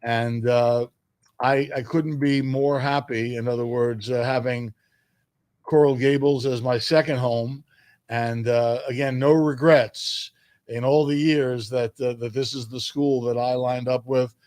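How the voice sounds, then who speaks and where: An older man from New York reminiscing as an interview guest, speaking in a measured way with emphatic phrasing. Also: mid-range voice, steady tone, a little mellow, dry recording. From New York, United States. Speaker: guest, sports public address announcer.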